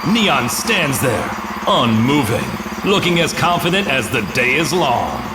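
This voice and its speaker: An actor playing an excitable veteran sports announcer in a cartoon, calling the play theatrically. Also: male voice, measured pace, very noisy. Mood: suspenseful, amazed. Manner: dramatic, theatrical, booming exclamations. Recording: loud music and crowd behind.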